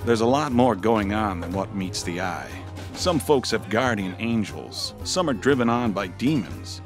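Oddball detective, comic noir narration, wry, confiding, animated pitch, music behind. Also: male voice, a little slow. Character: offbeat male detective narrating his own tale.

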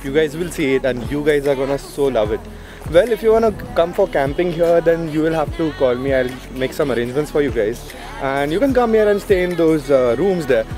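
A man's voice: fairly high pitch, expressive, fairly quick. Young indian guy vlogging from a beach camp, chatty, says guys a lot, music playing under him. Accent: Indian English. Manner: chatty, addresses viewers as guys, frequent uh fillers. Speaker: travel vlogger. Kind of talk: casual travel vlog. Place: outdoors at a beach campsite. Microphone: camera mic, handheld selfie framing.

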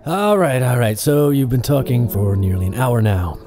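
A man's voice: mid-range voice, big pitch swings, moderate pace, a little mellow. An actor playing an impatient, skeptical man prodding a youngster for answers, blunt and folksy, in an audio drama. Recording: music behind.

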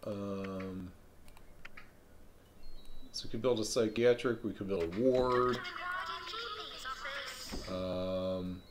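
A man streaming a video game live, thinking aloud in a relaxed way with frequent ums. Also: low-pitched, drawn-out words, pauses often, slight background noise. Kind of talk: live let's-play commentary.

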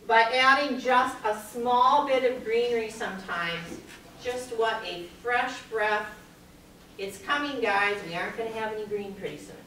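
A woman's voice: on the high side, unhurried, fairly bright tone. Middle-aged woman presenting in an echoey room. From Minnesota. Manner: chatty, practical, with fillers. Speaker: master gardener presenter. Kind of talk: informal home decor demonstration. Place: large meeting room with an audience. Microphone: far camera at the back of the room. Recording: echoey room, far from mic, audience noise.

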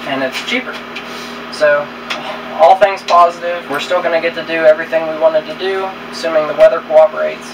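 A man's voice: on the high side, steady tone, brisk, noisy background. A man in his thirties chatting casually in a travel vlog, easygoing and rambling with fillers, filmed in a small camper and on a trail. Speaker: travel vlogger. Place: inside a small camper, then outdoors on a hill trail.

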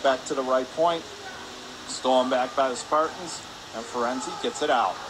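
A man's voice: medium pitch, moderate pace. A man doing live radio play-by-play of ice hockey, in clipped running phrases that rise with the action. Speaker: play-by-play announcer. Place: indoor ice rink stands. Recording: clear rink noise behind.

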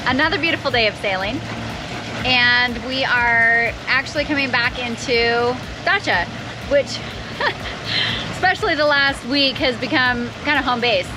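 A woman's voice: on the high side, unhurried. A woman hosting a sailing vlog in casual, giggly, easygoing chat, recorded outdoors on a boat. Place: outdoors on a sailboat. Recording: noisy open-air boat recording.

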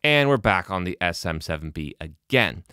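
A man's voice: deep voice, very animated, normal speed, pushed and bright, clean tone. A man in his thirties with a nasal voice, chatting casually to camera about gear in a small home studio, close to the mic, with frequent fillers. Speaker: video host testing microphones. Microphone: studio microphones close in front.

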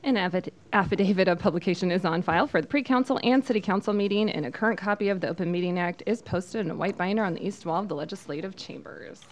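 A woman city clerk with a Midwestern American accent, reading agenda items and roll calls in a brisk, matter-of-fact way at a desk mic in a council chamber. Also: mid-range voice. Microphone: desk microphone.

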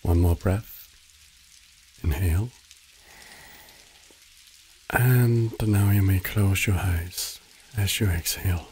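A man narrating a guided meditation in a soothing, measured way, giving short instructions with long pauses. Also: low-pitched, steady tone, darkish tone, a little hiss or hum, poor audio.

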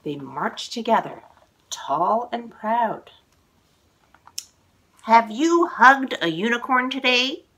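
A woman in her forties tells a picture-book story to young children at home. Her pitch swoops widely and her pace is unhurried. She exclaims and stretches key words with expressive delight in the telling. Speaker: children's author reading own picture book aloud. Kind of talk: animated children's read-aloud storytelling. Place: living room at home, indoors.

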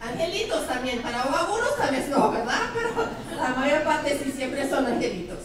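A woman's voice: sits high, sing-song, moderate pace, background noise. Brazilian-accented woman in an echoey hall. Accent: Brazilian Portuguese. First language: Portuguese. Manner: chatty, playful, asks the audience questions. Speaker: school principal. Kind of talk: welcome speech to parents. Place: large school cafeteria hall. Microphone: handheld microphone. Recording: echoey hall, distant camera mic.

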